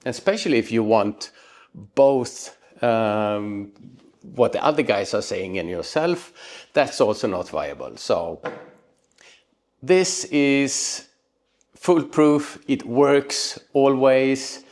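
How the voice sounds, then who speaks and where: A man presenting a motorbike gear how-to in a casual, unscripted way, often pausing mid-sentence. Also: mid-range voice, animated, darkish tone. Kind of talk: casual unscripted explainer to camera.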